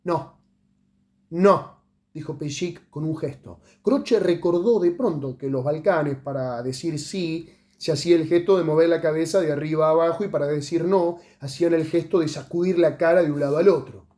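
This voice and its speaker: A man reads a literary short story aloud in a dramatic way, letting phrases trail off into pauses. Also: a little high, mellow. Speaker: audiobook reader.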